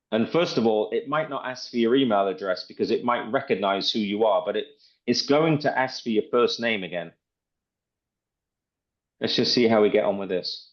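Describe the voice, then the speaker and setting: A man presenting an informal product demonstration in conversational talk. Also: fairly low pitch. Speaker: presenter demonstrating a phone assistant. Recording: dull lo-fi audio.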